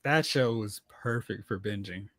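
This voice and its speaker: A man co-hosting a casual pop culture chat, talking in an animated, opinionated way. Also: fairly low pitch, measured pace, noisy background. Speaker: podcast co-host.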